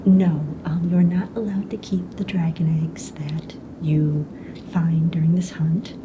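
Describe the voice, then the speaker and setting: A woman playing a calm, friendly mistress of ceremonies in a fantasy ASMR roleplay, explaining things in a soft, casual, slightly hesitant whisper. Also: a little slow, lo-fi sound. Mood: helpful, patient. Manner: casual, hesitant, explanatory, matter-of-fact about rules and danger. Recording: noisy close recording with low rumble.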